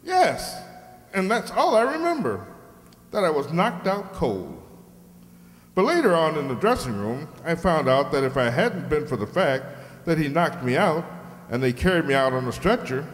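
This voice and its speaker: A man's voice: fairly high pitch, big pitch swings, moderate pace. A man playing an aggrieved, somewhat baffled professional wrestler as a contestant on an old-time radio quiz show. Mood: insistent. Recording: reverberant hall.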